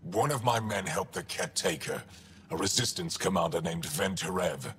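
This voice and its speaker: A stern alien resistance commander from a sci-fi game. The voice is deep and very raspy, in a long reverberant space. He speaks curtly and guardedly, like an officer who dislikes being questioned. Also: moderate pace, fairly bright tone, exaggerated voice. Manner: curt, guarded. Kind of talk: dramatic video game acting.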